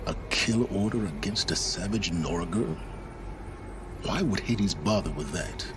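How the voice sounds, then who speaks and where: Deep-voiced, aloof scholar in exile. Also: male voice, expressive, background noise. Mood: measured, intrigued. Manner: measured, formal, precise, self-assured. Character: aloof, calculating middle-aged male scholar in exile, proud and unrepentant. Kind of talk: video game drama acting. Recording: music under the voice.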